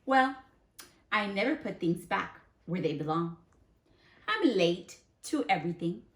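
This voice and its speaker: A woman plays a naughty little sunflower seed from a picture book, proudly confessing to being bad. The delivery is slow and theatrical, stretching key words for effect, with noticeable room echo around it. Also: medium pitch, animated. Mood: dramatic boasting. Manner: dramatic, drawn-out key words. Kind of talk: children's storybook character read aloud.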